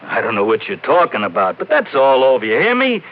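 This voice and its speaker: An actor playing a slangy, defensive small-time American ex-mob bookie in a vintage radio crime drama. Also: male voice, medium pitch, huge pitch swings, a little fast. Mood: agitated, defensive. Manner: slangy gangster talk, stammering, protesting. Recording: muffled old radio recording.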